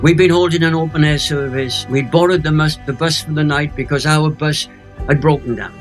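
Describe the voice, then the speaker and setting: A man's voice: a little high, fairly even intonation, brisk, faint music behind. A man with a Welsh accent, an evangelist guest telling vivid, emphatic stories in an interview. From Wales. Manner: vivid, emphatic storytelling with jokes. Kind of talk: storytelling interview.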